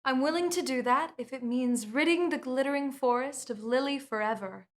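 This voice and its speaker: A woman's voice: sits high, normal speed, natural voice. A woman voicing an earnest young princess who bargains with a vampire, talking in a surprised, sincere way.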